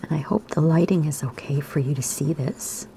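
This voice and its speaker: A woman, slightly hoarse today, gently talking kids through a drawing lesson in a soft, half-whispered voice. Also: low voice, normal speed, slight background noise, low-quality recording. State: raspy, slightly sore throat. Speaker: art teacher for kids. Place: home room by a window.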